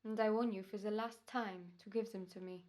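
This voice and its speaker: A woman's voice: mid-range voice, steady tone, a little fast, darkish tone, natural voice. A woman playing a formal, courteous and menacing doctor in an old-time radio drama. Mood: cold threatening. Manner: courteous, precise, ominous. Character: smooth, menacing, formal doctor turned murderer in radio rehearsal.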